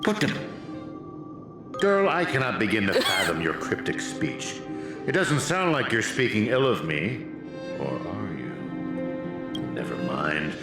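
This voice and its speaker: A pompous game villain, lecturing like a smug scientist. His theatrical delivery swings widely in pitch, in a roomy space with music playing behind. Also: very animated, a little slow, pauses often. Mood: smug, dramatic. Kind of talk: theatrical video game voice acting.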